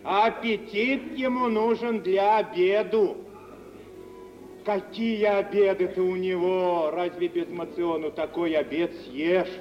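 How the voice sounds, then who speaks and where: An actor playing a stocky middle-aged café keeper in a radio drama, knowing and sententious, speaking in old folksy Russian vernacular. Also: male voice, high-pitched, fairly even intonation, slow pace, faint music behind. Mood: knowing, explaining. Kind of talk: mid-century Russian radio theatre acting. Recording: dull hissy 1950s radio-play recording, long reverb.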